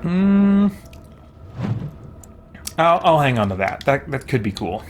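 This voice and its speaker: A man's voice: on the high side, big pitch swings, slow pace. Man musing casually over noisy game ambience. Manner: musing, thinking aloud. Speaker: game streamer, host. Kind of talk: casual livestream chat while playing a video game. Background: video game sounds and character voices.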